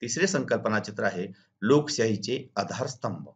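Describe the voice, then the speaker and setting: A man's voice: on the low side, animated, moderate pace. A man from Maharashtra speaking as a teacher, formally and methodically walking students through exam questions and answers. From Maharashtra, India. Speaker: school teacher and video channel host. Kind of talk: instructional exam walkthrough, reading questions and answers. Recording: dull lo-fi recording.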